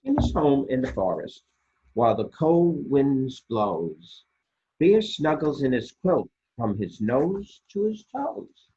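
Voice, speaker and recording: A man reading children's picture books aloud as a storytime host, expressively and deliberately, with dramatic pauses and a husky voice. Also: medium pitch, very animated, dark tone, dull audio, a little hiss or hum. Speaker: storytime reader for a library.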